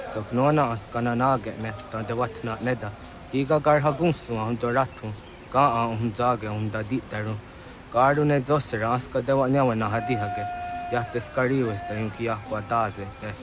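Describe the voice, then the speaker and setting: Man acting as steady, mid-pitched villager, music behind. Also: lightly acted. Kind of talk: video game cutscene acting.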